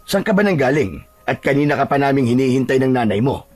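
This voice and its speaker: A man voicing a gruff but concerned father with a husky, gravelly voice in a dramatized story. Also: mid-range voice, big pitch swings, fast talker. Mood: gruff, scolding. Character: child's gruff father, scolding yet concerned.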